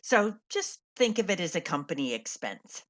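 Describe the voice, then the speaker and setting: A woman playing a worldly theater veteran in a radio drama. Her low voice moves in lively swings, frank and slangy, with wry, kindly mentoring. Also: moderate pace. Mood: conspiratorial. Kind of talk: radio play acting.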